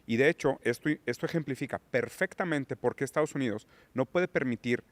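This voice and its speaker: A man's voice: mid-range voice, brisk, no room sound. A man who is a guest on a political podcast, lecturing on theory and rising into emphatic points. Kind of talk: political conversation.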